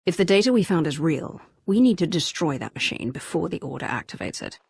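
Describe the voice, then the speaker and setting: A woman playing a loyal, resolute commander in a sci-fi video game, firm and earnest, with a husky voice. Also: low voice, big pitch swings, fairly quick, a little mellow, exaggerated voice. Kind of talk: dramatic video game acting.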